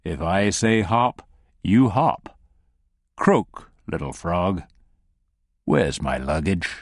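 A man puts on a cruel thug who speaks lower and softer than his narrating voice, calm and amused while he threatens. The voice sounds dry and close, with no room around it. He never rushes and lets each mocking word settle. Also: dry recording, exaggerated voice. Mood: quiet menace. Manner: soft, unhurried, mocking.

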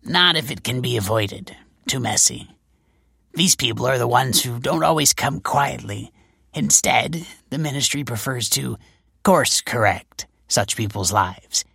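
A world-weary female detective speaks with dry, sardonic calm, voiced by a male audiobook narrator. The voice sits higher and brighter, with a gravelly, husky grain. The sound is close and dry. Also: measured pace, no echo, exaggerated voice. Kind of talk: audiobook character voice.

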